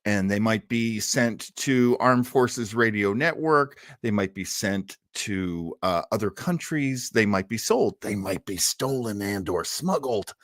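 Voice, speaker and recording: A man's voice: on the low side, animated, a little slow, few pauses, a bit bright, no room sound, studio quality. An older man hosting an old time radio show, chatting casually with live-stream listeners in a rambling, enthusiastic way.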